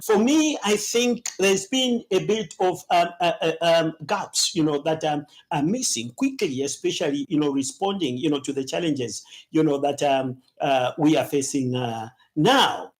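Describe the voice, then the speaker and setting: A man giving an unscripted comment, very halting and full of hesitations. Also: a little high.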